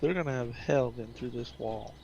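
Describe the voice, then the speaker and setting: A man's voice: medium pitch, brisk, gravelly, darkish tone, dull audio, slight background noise. A man chatting casually with teammates while he plays a multiplayer game. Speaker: player on the stream. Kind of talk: casual gaming chat.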